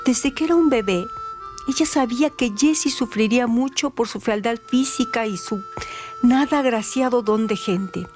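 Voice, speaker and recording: Female narrator for a radio story, highish voice, theatrical and deliberate, soft music bed.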